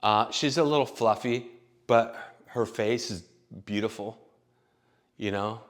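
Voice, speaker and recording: A man's voice: lowish voice, unhurried. A man in his late thirties telling stories in a rambling, profane, casual monologue full of fillers. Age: late 30s. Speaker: vlogger.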